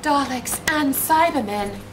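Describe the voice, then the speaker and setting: An actress playing a level-headed, practical adventurer companion in an audio drama, speaking in a dry, formal, matter-of-fact way. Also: female voice, sits high, lively intonation, measured pace, background noise. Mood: wary, practical.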